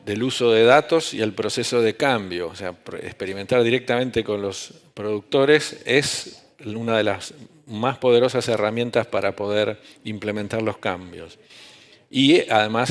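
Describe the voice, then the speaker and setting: Mid-pitched man introducing a conference speaker. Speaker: session host introducing a speaker.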